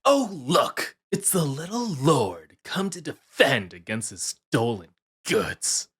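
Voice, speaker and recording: Slow, raspy, sinister vampire minion. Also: male voice, mid-range voice, huge pitch swings, a bit bright, no echo, studio quality, exaggerated voice. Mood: taunting glee. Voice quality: raspy, breathy. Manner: slow, creepy, drawn-out, savoring words. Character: sinister, gleeful vampire minion, servile to his master. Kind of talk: ASMR roleplay acting.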